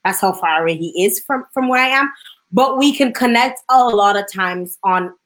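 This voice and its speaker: A woman from Dominica with a Dominican accent, hosting a casual interview, bubbly and quick to laugh, slipping into Creole phrases. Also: medium pitch, fairly bright tone. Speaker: host.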